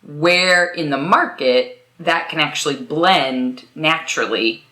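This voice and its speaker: Podcast host, woman, bright tone, thoughtful summarizer, echoey room. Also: low-pitched, slow pace. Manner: reflective, summarizes the guest's points at length. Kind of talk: interview conversation. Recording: roomy echo.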